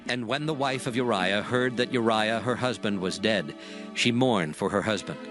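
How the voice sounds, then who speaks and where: A man narrating dramatized scripture in a measured, solemn way. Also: on the low side, music in the background.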